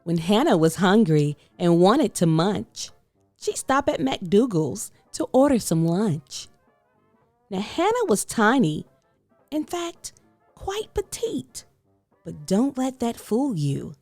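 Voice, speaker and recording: A woman narrating a children's story aloud in an expressive, scripted read-aloud style. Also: mid-range voice, slow pace, no echo. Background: faint music.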